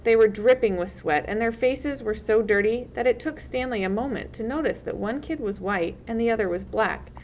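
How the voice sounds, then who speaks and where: A woman's voice: fairly high pitch, normal speed, few pauses. A woman reading a storybook aloud as narrator, in the manner of a teacher. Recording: muffled lo-fi recording, loud background noise.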